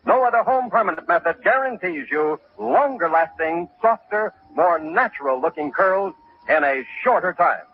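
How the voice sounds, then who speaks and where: A man's voice: high-pitched, animated, normal speed, a little hiss or hum. A man announcing from a script in mid-century radio style, formal and emphatic, on a thin old broadcast recording. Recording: thin, narrow-band vintage radio recording.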